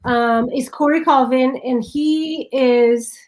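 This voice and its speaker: A woman with a foreign accent chatting casually on a live stream, excitable and quick to laugh, often restarting her sentences. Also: high voice. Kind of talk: casual chat.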